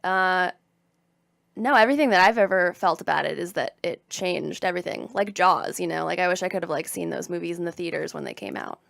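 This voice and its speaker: A woman's voice: lowish voice, fairly quick, creaky, fairly bright tone, no room sound, clean studio sound. A woman sitting in as co-host on a casual radio show, thoughtful and a little hesitant, often saying "like" as she works out her point. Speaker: radio board operator and co-host. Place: radio studio. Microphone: studio microphone.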